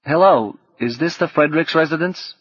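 Audiobook teen hero, played by a man: earnest, emphatic, pitched up, faintly husky, dry close sound. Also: moderate pace, fairly bright tone, no room sound, highs cut off. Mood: polite, urgent. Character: earnest, insistent teenage boy hero.

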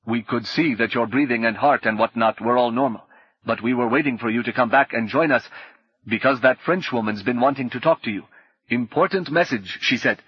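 A man reading an audiobook, voicing a gruff, disapproving father. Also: medium pitch, brisk, dry recording, highs cut off, close to an everyday voice. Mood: grumbling. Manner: gruff, complaining. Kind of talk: audiobook character voice.

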